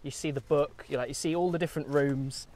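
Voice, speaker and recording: Higher-voiced British man, chatting casually. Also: steady tone, a little fast, darkish tone. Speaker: co-host of a film discussion vlog. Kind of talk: casual chat while walking. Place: outdoors, walking in woods and a golf course.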